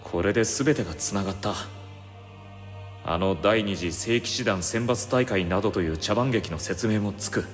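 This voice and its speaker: An actor playing a courteous, enigmatic male sorcerer in an anime game, speaking with calm, measured, formal politeness. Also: on the low side, close to an everyday voice. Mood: grave, resolved. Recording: long reverb over background music.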